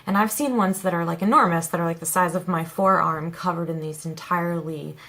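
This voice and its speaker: A woman's voice: fairly low pitch, steady tone, fairly quick, a bit bright. Froggy-voiced woman vlogger with a cold. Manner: rambling, lots of ums and likes. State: hoarse with a cold. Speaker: vlog host. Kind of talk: relaxed haul vlog.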